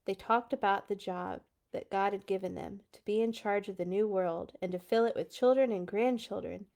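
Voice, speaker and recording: A woman's voice: mid-range voice, moderate pace, gravelly, a little mellow. A woman hosting a children's read-along, reading aloud and adding casual asides.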